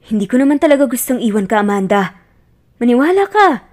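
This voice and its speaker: A woman voicing a gentle, remorseful man pleading earnestly with his former girlfriend in a romance audio story. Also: a little high, very animated, brisk. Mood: tender, earnest.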